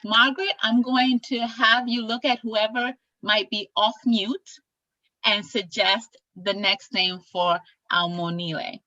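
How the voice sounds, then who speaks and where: Brief: young woman host on a video call, bright forceful voice, dry slightly lo-fi sound, upbeat and animated. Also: fairly high pitch, takes it slowly, dry recording. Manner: upbeat, animated, teaching greetings. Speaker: executive director and event host. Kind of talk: enthusiastic online presentation. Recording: slightly dull lo-fi call audio.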